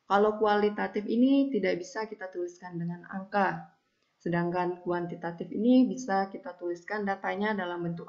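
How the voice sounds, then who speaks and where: A woman explaining a lesson as a school teacher, in a didactic way with frequent little check-in tags. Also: medium pitch. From Indonesia. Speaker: high school math teacher. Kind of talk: recorded statistics lesson, explanatory. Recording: dull, lo-fi audio.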